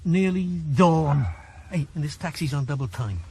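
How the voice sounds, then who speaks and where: An actor playing Yorkie, a jumpy, exasperated Welsh taxi driver in a 1980s radio drama. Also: male voice, fairly high pitch, gravelly, darkish tone. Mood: grumbling. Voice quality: jumpy, exasperated, quick to protest. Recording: radio drama with traffic noise.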